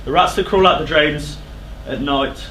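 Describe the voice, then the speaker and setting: A troubled king of ancient tragedy, acted by a man speaks in a slightly high voice. He is formal, suspicious and resolute, heard in a reverberant theatre with some background noise. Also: moderate pace, a bit bright, natural voice. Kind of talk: theatrical stage tragedy acting. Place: theatre stage.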